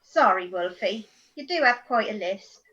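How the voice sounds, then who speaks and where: A woman playing a brisk, friendly village pub barmaid in a radio comedy. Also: medium pitch, lively intonation, a bit bright, dull audio, lightly acted.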